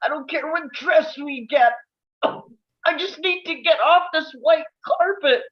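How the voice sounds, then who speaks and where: A woman's voice: sits high, takes it slowly, muffled recording. A woman playing a crude, exasperated, queasy bridesmaid in a comedy table read. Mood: distressed.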